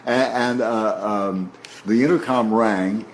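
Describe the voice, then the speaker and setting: A man from the Washington DC area in his sixties, a veteran radio broadcaster telling stories in a relaxed interview, often restarting his sentences. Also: fairly low pitch, slow, slight background noise. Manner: warm reminiscing, frequent restarts and soft laughs.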